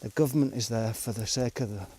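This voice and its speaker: A man in his forties reminisces about his travels in a loose, wandering way. His voice sits at an ordinary mid pitch, and he often pauses on fillers and backs up mid-sentence. A faint background hiss runs under the recording. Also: darkish tone. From England. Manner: rambling, unhurried, frequent fillers and restarts. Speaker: traveller recounting his life. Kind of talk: casual spoken storytelling.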